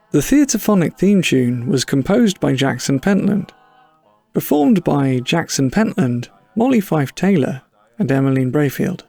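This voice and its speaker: Creaky, lively male announcer. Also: on the high side, no room sound. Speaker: podcast announcer. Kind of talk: scripted credits announcement.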